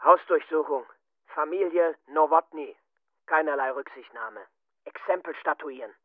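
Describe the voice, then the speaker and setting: An actor playing a ruthless Nazi officer in a German radio drama, giving clipped orders through a radio filter. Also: male voice, fairly high pitch, moderate pace, dry recording. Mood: curt, ruthless. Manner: clipped, telegraphic commands. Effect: phone or radio filter. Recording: tinny phone-like line.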